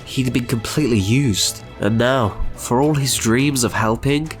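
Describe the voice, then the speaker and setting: A man's voice: medium pitch, unhurried. A man narrating a scripted, dramatic story as its narrator. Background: music.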